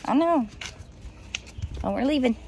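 A woman's voice: high-pitched, expressive, unhurried, very noisy. Chatty young mom filming on her phone. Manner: chatty, laughing often, talking to kids. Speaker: vlogger mom. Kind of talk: casual vlog chat. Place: car, parking lot and mall play area. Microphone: phone camera held at arm's length.

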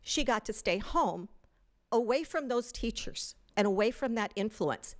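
A woman's voice: on the high side, animated, unhurried. Indignant woman reading at public comment. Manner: indignant, reading from prepared text. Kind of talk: public comment reading news stories aloud. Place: large hotel meeting room.

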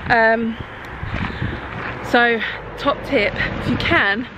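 British woman vlogging in loud outdoor noise. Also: on the high side, drawn-out words. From United Kingdom. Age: 30s. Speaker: travel vlogger. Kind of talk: casual vlog chat to camera. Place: outdoors on seafront paths and roadsides. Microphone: handheld camera at arm's length.

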